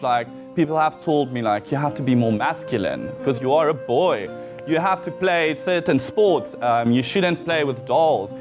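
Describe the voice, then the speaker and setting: A young man from South Africa with an Afrikaans accent, chatting casually as an interview guest and laughing often. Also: medium pitch. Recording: music bed underneath.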